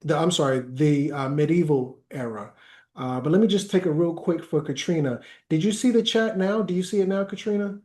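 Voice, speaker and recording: A man hosting a workshop over a video call, talking slowly and hesitantly with many fillers. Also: fairly high pitch, a little mellow. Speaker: workshop host. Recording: roomy echoey video call audio.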